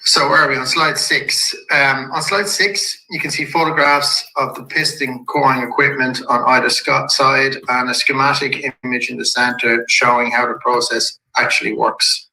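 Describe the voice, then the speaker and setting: A man with a slightly croaky voice from a head cold, a company executive calmly walking shareholders through an investor presentation slide by slide. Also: mid-range voice, a little hiss or hum. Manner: measured and businesslike, with occasional restarts. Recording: echoey room.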